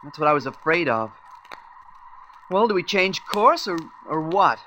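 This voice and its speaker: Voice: mid-range voice, very animated, unhurried, sharp tone, a little hiss or hum, low-quality recording. A performer playing a worried space crew member in a radio serial, with a hesitant, faltering manner.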